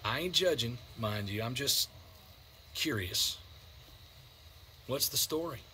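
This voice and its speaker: An actor playing a weary, loyal survivor in a video game, plainspoken and folksy, with an American accent. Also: male voice, medium pitch, lively intonation, slow, sharp tone, a little hiss or hum, exaggerated voice. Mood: rueful, reflective. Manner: folksy, colloquial, reflective. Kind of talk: naturalistic video game drama acting.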